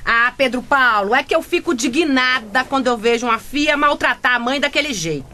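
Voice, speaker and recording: A woman's voice: sits high, expressive, fairly quick, pushed and bright, a little hiss or hum. A woman playing a gossipy, indignant housemaid who loves soap operas, exclaiming in a comic radio drama.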